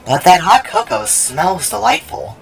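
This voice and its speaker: A man puts on a polite lady in an audio drama, faint and high. The voice is heavily creaky and husky, with music behind. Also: sing-song, unhurried, heavily acted. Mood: faint, wistful. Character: faint, polite female character who drinks only liquids.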